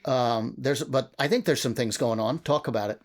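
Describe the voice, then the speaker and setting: A man's voice: medium pitch, normal speed. A man moderating a panel discussion casually, feeling out his questions aloud. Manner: informal, feels out questions aloud.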